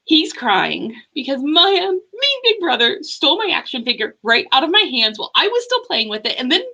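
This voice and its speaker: A woman's voice: sits high, big pitch swings, pushed and bright, highs cut off, pitched above the performer's own voice, heavily acted. A woman voicing an upset little boy who is crying and rattles off his complaints in a whiny rush. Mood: tearful. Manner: rushed, whiny run-on complaining.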